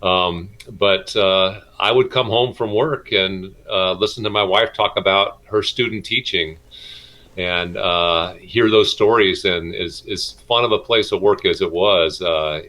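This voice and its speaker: A middle-aged man, a schoolteacher, answering interview questions informally in a halting, hesitant way with many pauses. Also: low-pitched, fairly even intonation, bright, forceful tone. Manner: halting, hesitant delivery with frequent pauses and repeats. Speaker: middle school math and science teacher.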